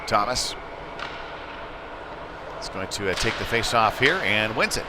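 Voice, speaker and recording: A man doing live play-by-play commentary of youth hockey in a conversational way that can rise into excitement on big plays. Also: lowish voice, unhurried. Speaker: play-by-play sports broadcaster. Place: ice rink, live broadcast. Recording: noisy arena ambience behind the voice.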